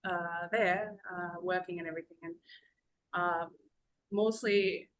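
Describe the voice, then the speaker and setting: A woman's voice: fairly low pitch, steady tone, low-quality recording. Chatty woman from Zambia, rambling storyteller. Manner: rambling, conversational storytelling with many pauses. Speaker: podcast guest. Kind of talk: casual podcast conversation.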